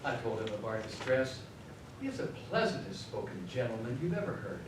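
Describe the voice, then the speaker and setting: An actor playing a gentle, grieving father in a live radio drama, tender and halting. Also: mid-range voice, big pitch swings, natural voice. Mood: tender, brave. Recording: echoey live hall, distant mic, noisy.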